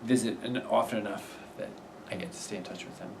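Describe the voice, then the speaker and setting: A middle-aged man with a fairly low voice, recorded a little away from the microphone with clear noise around him. He answers interview questions in a measured, thoughtful manner. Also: a little mellow, recorded from a distance. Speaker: interviewee, viola player and teacher. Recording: off-mic with clear background noise.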